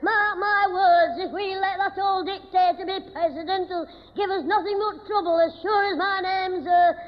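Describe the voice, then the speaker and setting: Cheeky, piping Northern English schoolboy. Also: male voice, very high-pitched, steady tone, moderate pace, faint music behind. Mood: gossipy, indignant. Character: cheeky, sharp-tongued schoolboy living with his grandad. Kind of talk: 1970s radio sitcom acting. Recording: muffled noisy old radio recording, hall audience.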